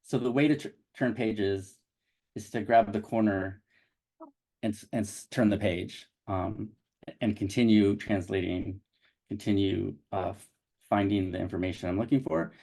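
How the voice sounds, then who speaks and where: A man presenting a digital edition's web interface on a video call, speaking haltingly with many restarts. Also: on the low side, steady tone, warm tone. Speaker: digital project developer presenting a site.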